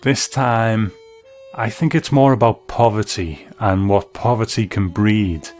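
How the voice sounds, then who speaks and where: A man's voice: fairly low pitch, fairly even intonation, a little slow, darkish tone. A man hosting a review show, giving reflective commentary. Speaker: host. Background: faint music.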